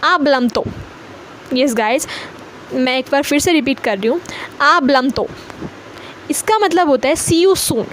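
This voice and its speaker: A woman's voice: high-pitched, a little hiss or hum. A young woman with an Indian accent giving a casual, emphatic online language lesson, mixing Hindi and English and repeating her points. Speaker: language teacher.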